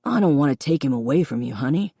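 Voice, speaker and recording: A woman narrator voicing a remorseful older father with a quiet, reedy voice. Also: very deep voice, lively intonation, fast, creaky, slightly rough, dry recording, clean studio sound, heavily acted. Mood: pleading, tender.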